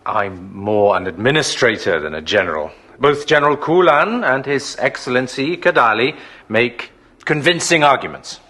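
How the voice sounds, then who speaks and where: An actor playing a diplomatic, cautious master of the palace guard in a radio drama, humble and deferential. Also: male voice, mid-range voice, expressive, normal speed, sharp tone.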